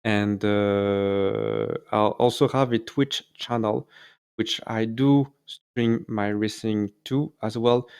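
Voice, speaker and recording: A man's voice: lowish voice, lively intonation, slow pace, vocal fry, darkish tone, clear, resonant tone. A man with a French accent, a native French speaker, hosting a casual solo video and talking hesitantly with many fillers and self-corrections. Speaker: YouTube host.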